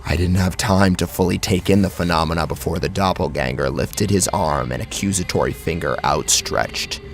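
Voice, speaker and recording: A man's voice: deep voice, normal speed, creaky. A man narrating a scripted first-person horror story dramatically, close on the microphone. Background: background music.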